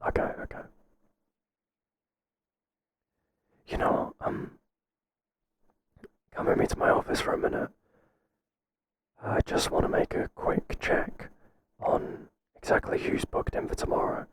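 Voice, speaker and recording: A man playing a mild, kindly workplace boss in a whispered ASMR roleplay, soft-spoken and halting with a breathy voice. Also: close to an everyday voice. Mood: gently teasing, curious. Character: mild, hesitant, kindly male workplace boss, flustered by flattery.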